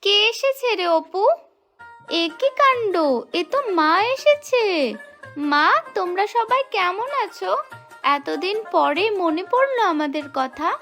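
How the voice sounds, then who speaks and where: A woman plays a dutiful young wife and caring elder sister in a cartoon folk tale. The voice is extremely high and girlish, with an earnest, soft-hearted delivery. Faint music plays behind it. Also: animated, slow, exaggerated voice. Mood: welcoming.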